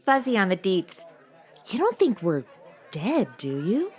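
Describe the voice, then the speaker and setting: A woman's voice: mid-range voice, wildly swooping pitch, measured pace, husky, exaggerated voice. A woman playing a sassy modern young woman in a comedy audio drama, slangy and flippant. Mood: bubbly.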